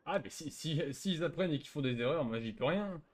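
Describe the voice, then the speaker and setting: A man's voice: a little high, expressive, brisk. A man arguing casually as a player in an online role-play chat, insistent and protesting.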